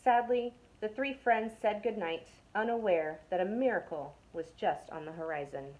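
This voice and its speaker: A young adult woman from a youth club, reading a picture book aloud to children with expressive delivery that drops to a whisper for suspense. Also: medium pitch, moderate pace, low-quality recording.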